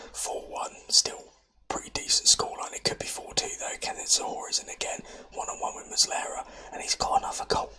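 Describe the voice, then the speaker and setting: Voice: very high voice, pushed and bright, low-quality recording. A gamer, whispering nervous, exasperated commentary over a football management game, close to the mic. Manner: whispering throughout, nervy and exasperated.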